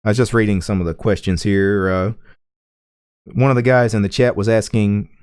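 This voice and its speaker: A relaxed man with a mild Southern accent hosts a livestream, talking slowly and saying "yeah" a lot. His voice sits low, and the sound is dry and close with almost no room echo. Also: clear, resonant tone. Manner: laid-back, drawn-out, frequent "yeah"s. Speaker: livestream host. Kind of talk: casual chat with a caller. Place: small home room full of computer gear.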